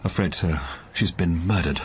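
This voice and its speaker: An actor playing a witty, arch entertainer turned sleuth in a radio mystery, with a theatrical, dryly flippant manner. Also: male voice, deep voice, moderate pace, noisy background, close to an everyday voice. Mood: grave. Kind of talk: radio mystery drama acting.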